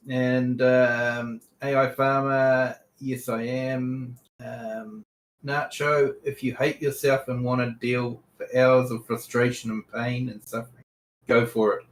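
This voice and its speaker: A man's voice: medium pitch, flat delivery, roomy sound, a little hiss or hum, low-quality recording. A man casually chatting on a live game stream, reading chat aloud with lots of ums. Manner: unhurried, reads chat aloud, frequent ums.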